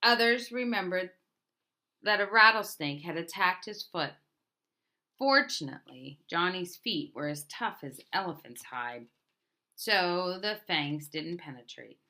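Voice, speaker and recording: A woman reading a picture book aloud as a storyteller, in a deliberate manner with emphatic openings to her phrases. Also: lowish voice, animated, pushed and bright. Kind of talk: scripted picture book narration.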